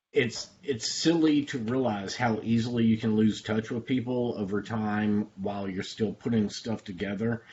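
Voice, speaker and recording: A man's voice: on the low side, darkish tone. A man talking casually and reflectively in a group conversation. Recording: dull lo-fi call, background noise.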